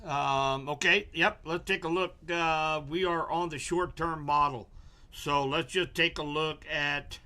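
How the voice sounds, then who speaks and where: A middle-aged man gives a live weather briefing, his voice hoarse and wearing thin. He talks slowly and explains each chart step by step, in a fairly high, bright, forceful tone. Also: steady tone. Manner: slow, explanatory, walks viewers through charts. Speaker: local weather forecaster.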